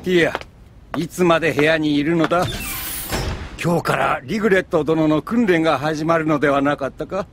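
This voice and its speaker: A man's voice: a little high, fairly even intonation, normal speed, noisy background, natural voice. An actor playing a dignified, patient elderly grandfather in an anime audio drama, speaking formally. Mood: concerned. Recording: music behind the voice.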